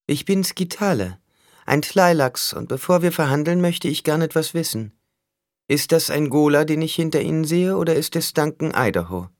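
A man's voice: a little high, expressive, moderate pace, no echo, studio quality, voice pushed up. A man voicing a cunning shapeshifter villain in an audiobook, smug and taunting as he negotiates with menace. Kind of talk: audiobook character acting.

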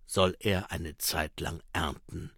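A man reading an audiobook and voicing a gruff, weary middle-aged male watch commander with a low, grumbling, clipped delivery. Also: steady tone, slow, a bit bright, no echo, studio quality, exaggerated voice.

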